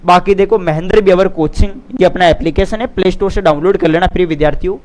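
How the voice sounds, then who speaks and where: A man's voice: high voice, quick pace, a little mellow. A man teaching an exam lesson, reading out answers and explaining them informally, repeating phrases and checking that students follow. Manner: didactic, repeats phrases, frequent check-in tags. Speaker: teacher, online exam coach.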